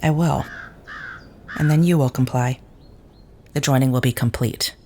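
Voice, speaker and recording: A woman's voice: extremely low pitch, measured pace, darkish tone. A woman in an audio drama playing a cold, formal non-human woman who speaks stiffly and without contractions. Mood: cool, resolute. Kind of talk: scripted audio drama acting. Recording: roomy, echoing sound.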